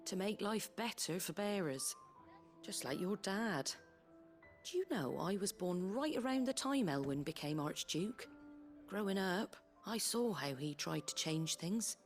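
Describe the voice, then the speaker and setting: An actress plays a tough but kindly British innkeeper in a fantasy game. She talks in an earthy, colloquial way with dry wit and a brisk edge. Her voice sits at a middling pitch, with music playing behind it. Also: close to an everyday voice. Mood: reflective, warm. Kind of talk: video game character dialogue.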